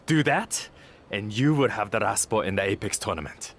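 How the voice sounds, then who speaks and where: A conceited star wrestler in a game cutscene. His pitch moves widely as he talks down to people with haughty disdain. A little background ambience comes through. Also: expressive, normal speed, creaky, a bit bright, a little hiss or hum. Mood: smug. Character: arrogant, condescending star wrestler who thinks himself above everyone. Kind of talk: video game cutscene acting.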